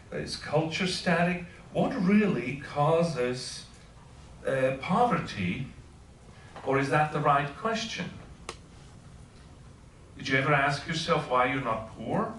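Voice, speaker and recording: Bright-voiced Swiss professor in an echoey hall. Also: male voice, a little high, recorded from a distance, slight background noise. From Switzerland. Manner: informal, asks the audience questions. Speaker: guest speaker. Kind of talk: public talk with audience questions. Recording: echoey room, voice heard from afar.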